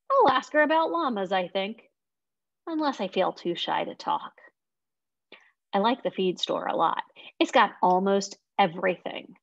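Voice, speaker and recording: A woman's voice: medium pitch, dry recording. A young adult woman reading a children's novel aloud, expressively, into a webcam at home. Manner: expressive reading in a young girl's first-person voice. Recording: dry, slightly muffled lo-fi sound.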